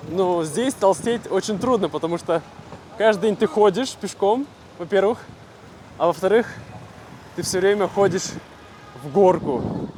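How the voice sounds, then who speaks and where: A man from Russia vlogging casually to camera, speaking simply and clearly for language learners with easy fillers. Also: high voice, a little slow, long pauses, background noise. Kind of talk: casual vlog talk to camera.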